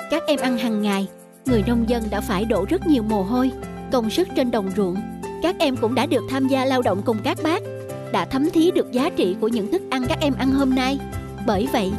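A woman's voice: fairly high pitch, lightly acted. Patient, encouraging cartoon schoolteacher played by a woman, instructive delivery, music underneath. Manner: clear, instructive, addressing children. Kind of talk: children's cartoon dubbing. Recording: music behind the voice.

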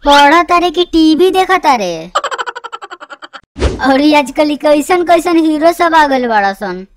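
A man voicing a grumbling old village grandfather in a comedy cartoon, scolding and boastful, with a pitched-up voice. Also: big pitch swings, takes it slowly, background noise, full character voice. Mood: suspicious. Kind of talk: amateur comic cartoon dubbing.

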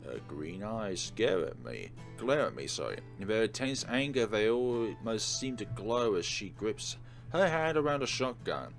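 Low-voiced man reading aloud over music. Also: sing-song, a little slow, gravelly. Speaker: let's play host. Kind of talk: dramatic read-aloud of a visual novel with casual commentary. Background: game music.

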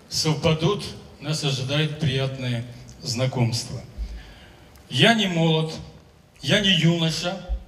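A man in his seventies, a singer, telling his story on a concert stage into a handheld microphone, in a measured, declamatory way. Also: on the high side, fairly bright tone. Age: 70s. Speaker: singer giving a testimonial on stage. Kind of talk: stage speech to an audience. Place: large concert hall stage. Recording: roomy hall sound from a distance.

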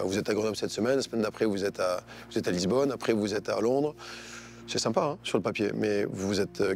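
A man's voice: on the low side, gravelly, a little mellow, a little hiss or hum. A man explaining things from his own experience in a documentary interview.

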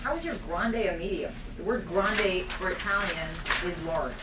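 A woman's voice: mid-range voice, normal speed, natural voice. A woman playing a feisty, scheming coffee shop ally at a comedy table read, with a challenging delivery. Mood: indignant. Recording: echoey theatre, distant mic, clear noise.

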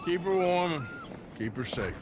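An actor playing a gruff outlaw who speaks in a low, understated drawl. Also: male voice, lively intonation, moderate pace, noisy background, lightly acted. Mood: calm. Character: gruff middle-aged outlaw, steady and dry.

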